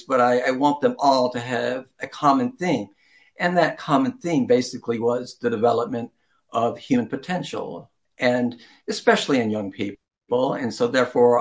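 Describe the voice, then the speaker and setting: An older man answering interview questions as a writer, in a rambling, self-deprecating, chuckling conversational way. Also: mid-range voice.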